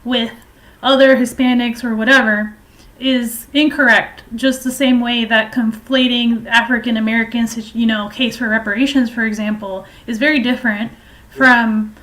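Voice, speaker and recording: A woman giving informal political and historical commentary in a halting, hesitant way, explaining at length. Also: on the high side, steady tone, a bit bright, low-quality recording.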